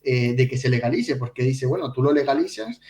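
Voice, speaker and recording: Man, podcast co-host, medium pitch, halting explanatory delivery with repetitions, echoey room, set back from the mic. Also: expressive, darkish tone. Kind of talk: conversational financial news discussion. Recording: roomy echo, distant from mic.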